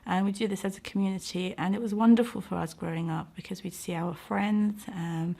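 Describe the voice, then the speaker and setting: A woman's voice: medium pitch, fairly even intonation, a little fast, vocal fry, warm tone. A middle-aged British woman speaking reflectively in an interview, earnest and thoughtful, often hesitating as she finds her words. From United Kingdom. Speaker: interviewee, doctor and community organiser.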